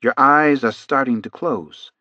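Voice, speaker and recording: Male narrator as authoritative, soothing male doctor for audiobook, mid pitch, quiet delivery, slightly dull sound. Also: unhurried, no room sound. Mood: soothing, steady. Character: calm, authoritative male doctor conducting hypnosis.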